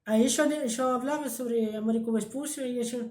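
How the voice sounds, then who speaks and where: A man's voice: extremely high pitch, fairly even intonation, fairly quick, darkish tone. Young guy w brazilian accent, hesitant, lots of ehs, echoey room. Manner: hesitant, many fillers, trailing off. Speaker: guest. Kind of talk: casual interview answers.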